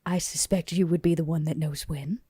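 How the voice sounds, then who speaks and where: A woman playing a stern, businesslike female vampire club boss in a measured, deadpan tabletop game performance. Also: sits low, slightly rough, dry recording. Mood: cool, probing.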